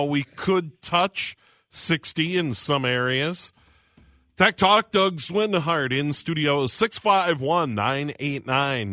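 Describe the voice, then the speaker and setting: A man hosting a radio call-in show from a studio, reading out phone numbers slowly and making brisk station announcements. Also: on the high side, pushed and bright, no room sound. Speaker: radio host.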